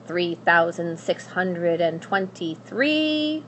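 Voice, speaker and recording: Woman acting a slow, scornful storybook witch. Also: lowish voice, big pitch swings, a bit bright, slight background noise, exaggerated voice, rough recording. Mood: cold, indifferent. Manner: slow, drawn-out, disdainful. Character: cold, menacing witch, scornful and indifferent.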